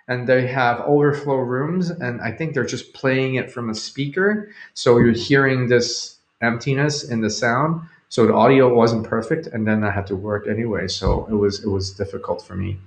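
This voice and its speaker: A man's voice: mid-range voice, moderate pace. A man, a Cantonese speaker, chatting casually and hesitantly as he hosts a livestream, rambling and thinking aloud. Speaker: livestream host. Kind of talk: casual live chat with viewers. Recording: roomy, echoing home recording.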